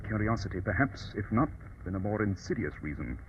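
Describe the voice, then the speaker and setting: A man's voice: on the low side, very animated, a little fast. A man playing a keen, commanding English detective, precise and imperious, in an old radio drama. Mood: calm, watchful. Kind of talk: 1940s radio drama acting. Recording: dull, noisy old radio recording.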